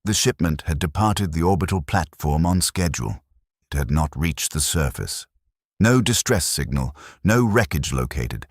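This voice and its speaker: A man reading a scripted first-person war story in a measured, solemn way, with short deliberate sentences. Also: extremely low pitch, animated, dry recording, studio quality. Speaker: narrator of a fictional first-person war account. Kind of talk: scripted cinematic story narration.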